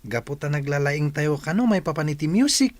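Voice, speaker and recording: A man's voice: fairly high pitch, lively intonation, brisk, no room sound, lightly acted. A man playing a friendly, admiring young pupil in a radio drama, with a Filipino accent. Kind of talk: Ilocano radio drama acting.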